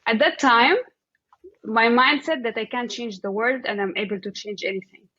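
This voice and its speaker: A woman from Egypt with an Egyptian accent, speaking casually and haltingly as an interview guest, often saying "like" as she thinks aloud. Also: a little high, bright, forceful tone, husky, dry recording, lo-fi sound.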